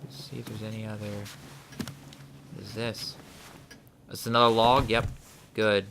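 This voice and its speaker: Gravelly man narrating gameplay. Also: on the low side, little pitch movement, a little slow, noisy background. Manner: thinks aloud, reads in-game notes aloud. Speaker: let's play host. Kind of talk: casual gameplay commentary.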